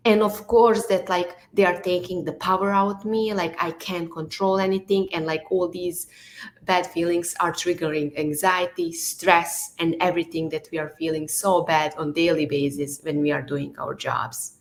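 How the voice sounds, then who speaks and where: A woman's voice: fairly low pitch, level tone, normal speed. A woman from Bosnia with a Bosnian accent, a non-native speaker hosting a podcast interview, leaning on filler words. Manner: leans on "like" and "you know" fillers. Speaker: podcast host. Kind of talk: conversational interview.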